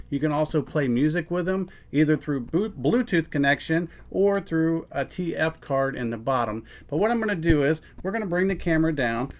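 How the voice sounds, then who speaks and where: A man in his thirties, casually demonstrating a product and explaining it step by step in an upbeat way. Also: on the high side. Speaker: product reviewer.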